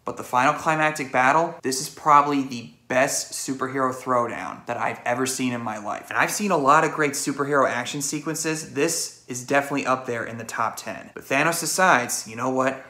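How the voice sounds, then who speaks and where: An excitable man reviews a film in a bright, forceful voice. He retells scenes with emphatic delivery and acts out reactions, recorded in a room with noticeable echo. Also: medium pitch, a little fast, hardly any pauses. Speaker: movie reviewer. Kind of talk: animated video movie review, retelling scenes.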